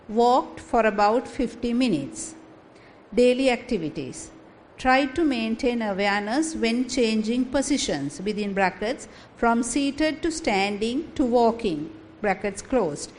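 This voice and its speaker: A woman reading her meditation report aloud in a steady, even way. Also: fairly high pitch. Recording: light background noise.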